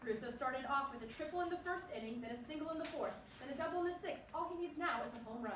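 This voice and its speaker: A woman in a staged play reading playing an excitable baseball commentator and pressing news reporter. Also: high voice, quick pace. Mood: excited. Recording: echoey hall, distant mic, faint noise.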